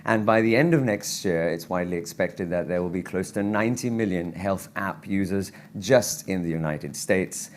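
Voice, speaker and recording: A man speaking as a physician at a conference lecture, his voice croaky from travel, earnest and given to restarts and fillers. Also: deep voice, moderate pace, few pauses. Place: conference hall.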